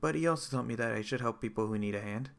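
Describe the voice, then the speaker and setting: A man voicing a gentle, polite young girl who talks in a formal, cheerful, helpful way. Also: medium pitch, very fast, vocal fry. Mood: earnest, cheerful.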